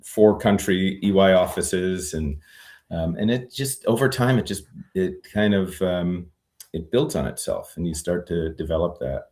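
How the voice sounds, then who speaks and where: A man with a very low voice speaks in a relaxed interview, thinking aloud as he goes. He circles back, restarts sentences and fills pauses with "um" before landing his point. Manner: reflective, many fillers and restarts. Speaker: guest, retired immigration lawyer. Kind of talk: conversational interview.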